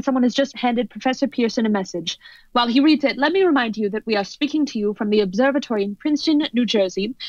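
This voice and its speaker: A woman playing a poised radio news commentator in an old-time radio drama, speaking in a formal, measured announcer style. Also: a little high, dry recording, close to an everyday voice. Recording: dull lo-fi audio.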